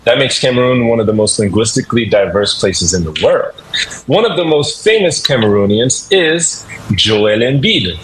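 A man's voice: medium pitch, lively intonation, normal speed, fairly bright tone, slight background noise, rough recording. A man hosting a radio language segment, upbeat and instructive, drilling listeners to repeat phrases. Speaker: language teacher segment host.

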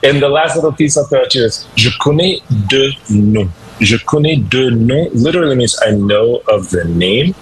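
A man's voice: mid-range voice, lively intonation, normal speed, hardly any pauses, slight background noise, rough recording. A man hosting a radio language segment, upbeat and instructive, drilling listeners to repeat phrases. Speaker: language teacher segment host.

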